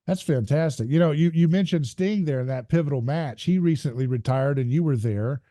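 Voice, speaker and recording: A man's voice: fairly high pitch, a little fast, mellow, no echo, clean studio sound. A man hosting an interview, backing up his guest with frequent "yeah"s and asking questions with many restarts. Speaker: podcast host. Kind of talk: interview, casual chat.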